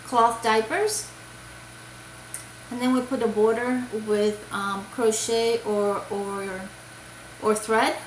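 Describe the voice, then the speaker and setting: A woman's voice: fairly high pitch, steady tone, takes it slowly, a little hiss or hum. Chatty woman vlogger in an echoey room. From Puerto Rico. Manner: rambling, many fillers and restarts. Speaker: crochet vlogger. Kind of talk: casual show-and-tell chat.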